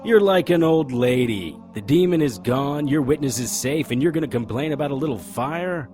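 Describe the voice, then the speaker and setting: A man's voice: medium pitch, expressive, normal speed, a little mellow, soft background music, natural voice. A man playing a wry, stubborn occult investigator who narrates and argues in a noir audio drama. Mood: sardonic, insistent. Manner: sardonic, confrontational.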